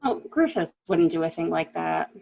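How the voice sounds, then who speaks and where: A woman's voice: sits low, expressive, normal speed, vocal fry, warm tone, full character voice. A woman playing a genteel, composed widow in a murder mystery reading, on a muffled recording. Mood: gently protesting. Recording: dull, muffled lo-fi sound.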